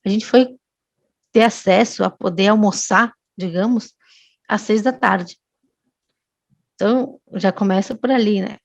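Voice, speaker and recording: A woman's voice: mid-range voice, unhurried, gravelly, long pauses, no echo. Lady w chatting as a guest, lots of restarts, kinda dull lo-fi sound. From Brazil. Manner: storytelling, with many restarts and tag questions. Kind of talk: casual chat.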